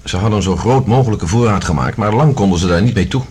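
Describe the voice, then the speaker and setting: A man's voice: on the low side, lively intonation, fast, a little mellow, slightly rough. A man acting a sober, cautious professor giving formal reports in a science-fiction radio drama.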